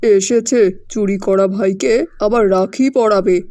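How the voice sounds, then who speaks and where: A woman's voice: mid-range voice, takes it slowly, warm tone, no room sound, pitched below the performer's own voice, natural voice. A woman voicing a menacing male thug in a cartoon, demanding and bullying.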